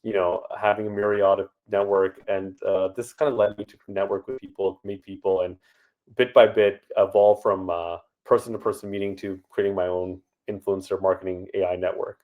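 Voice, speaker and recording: Deep-voiced man, relaxed. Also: moderate pace, narrow, tinny audio. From Canada. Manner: relaxed and conversational, many fillers. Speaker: startup founder guest. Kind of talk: casual interview answers.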